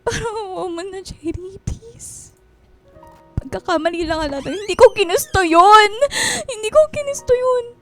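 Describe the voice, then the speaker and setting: Very high-pitched, defensive young wife. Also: female voice, animated, moderate pace, fairly bright tone. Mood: guilty, tearful. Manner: pleading, defensive, dramatic. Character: guilty, defiant young wife, sharp-tongued daughter. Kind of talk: Filipino radio melodrama acting. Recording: music bed behind the voice.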